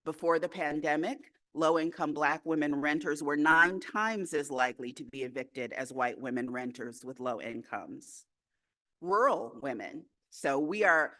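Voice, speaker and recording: A woman delivering a prepared speech at a breakfast event, in a rhythmic, repetitive style with deliberate pauses. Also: deep voice, a bit bright. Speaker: speaker at a women's day breakfast.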